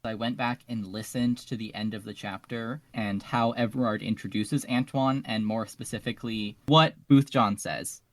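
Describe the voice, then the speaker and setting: A man's voice: fairly low pitch, fairly even intonation, moderate pace, few pauses, a bit bright, dry recording. A man talking casually in a podcast discussion as one of the panelists.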